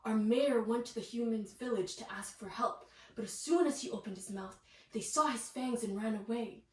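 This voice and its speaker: An earnest vampire father from a storybook, read by a woman, explains gravely and with worry in a high voice. The recording is roomy and a little far from the mic, with faint noise. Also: moderate pace, darkish tone, lightly acted. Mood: earnest explaining. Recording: echoey room, distant mic, faint noise.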